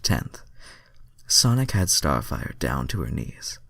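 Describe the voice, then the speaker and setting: Very deep-voiced man reading fan fiction. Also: sing-song, slow pace, creaky, pushed and bright, dry recording, in a whisper. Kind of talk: dramatic reading with casual asides.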